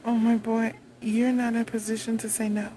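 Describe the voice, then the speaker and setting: A woman playing a haughty, vengeful vampire queen in a roleplay monologue, imperious, taunting and deliberate in delivery. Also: on the high side, flat delivery, dark tone, a little hiss or hum, close to an everyday voice. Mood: taunting, commanding.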